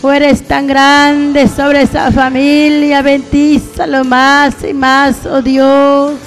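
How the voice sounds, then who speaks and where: A woman's voice: high-pitched, monotone, takes it slowly. A mature woman preacher praying aloud in a pleading, spontaneous way, calling out to God again and again in short phrases. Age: middle-aged or older. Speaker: evangelical preacher praying on a radio programme. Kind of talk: fervent spontaneous intercessory prayer. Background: faint music.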